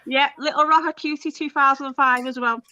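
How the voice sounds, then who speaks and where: A woman's voice: high voice, fairly even intonation, fairly quick, sharp tone. A woman with a Liverpool accent hosting a casual live stream, chatty and laughing often as she talks to her viewers. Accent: Liverpool (Scouse). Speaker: livestream host. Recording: dull lo-fi stream audio.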